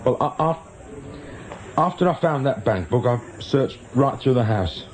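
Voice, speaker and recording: An actor playing an evasive, nervy small-time crook in a radio drama, with a British accent and a hesitant, defensive manner. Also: male voice, mid-range voice, expressive, measured pace, close to an everyday voice, low-quality recording. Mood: reluctant, resentful. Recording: clear background noise and ambience.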